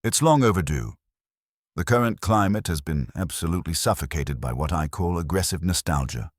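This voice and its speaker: A man's voice: sits low, expressive, fairly quick, dry recording, clean studio sound. A man voicing a haughty, affected male wine aesthete with pompous, precise speech, as in an audiobook. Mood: solemn disdain. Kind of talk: audiobook character dialogue.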